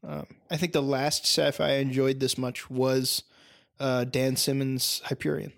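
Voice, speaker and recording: A man's voice: medium pitch, flat delivery, a little slow, creaky, no echo, studio quality. A young man co-hosting a casual podcast, rambling a little with many ums and uhs. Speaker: podcast co-host. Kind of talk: casual chat.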